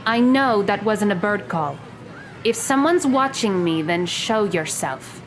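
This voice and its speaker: A woman playing a guarded high school girl who speaks in precise, matter-of-fact and formal words in an audio drama. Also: animated, a little slow, background noise, natural voice. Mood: wary, blunt.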